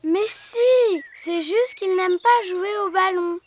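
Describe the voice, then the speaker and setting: A woman's voice: very high voice, takes it slowly, a little hiss or hum. A performer voicing a bossy, imaginative little girl sheep in a preschool cartoon, childlike and insistent. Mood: matter-of-fact. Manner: childlike, insistent, matter-of-fact.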